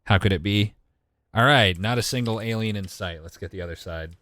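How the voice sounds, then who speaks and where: A man in his twenties with a deep voice gives casual, wry live gaming commentary and talks back to his chat. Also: fairly quick, fairly bright tone, no room sound. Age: 20s. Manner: wry, joking, mild swearing, talks to chat. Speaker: game streamer.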